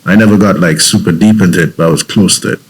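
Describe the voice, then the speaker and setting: Trinidadian man, very deep voice, earnest interview guest, thoughtful storytelling. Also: quick pace, slightly rough. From Trinidad and Tobago. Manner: reflective, earnest, with frequent restarts.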